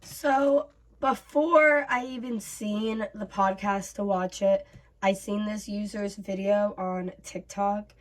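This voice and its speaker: A woman in her twenties hosting a reaction vlog, voice raspy from bronchitis, chatting casually with many fillers. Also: mid-range voice, fairly even intonation, takes it slowly, poor audio. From North America. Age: 20s. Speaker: YouTube host.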